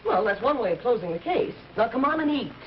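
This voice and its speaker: A woman's voice: on the high side, expressive, fast, natural voice. Woman as detective's wife, American accent, coaxing and wry, swooping intonation, old radio drama, slight hiss. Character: devoted, teasing wife of a police detective. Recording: dull, noisy old radio recording.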